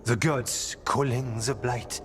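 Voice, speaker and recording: An actor playing an earnest, uneasy warden scholar in a fantasy game, with a hesitant manner. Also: male voice, mid-range voice, steady tone, slow, background noise, natural voice. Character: earnest, uneasy male warden scholar, sensitive to dark forces. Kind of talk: dramatic fantasy game acting.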